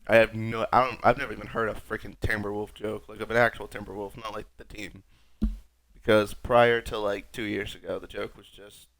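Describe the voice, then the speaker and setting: A young man with a raspy voice, sick, rambling wryly through casual gameplay commentary as a streamer. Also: fairly low pitch, flat delivery, brisk, a bit bright. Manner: rambling, wry, self-mocking. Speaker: gaming streamer.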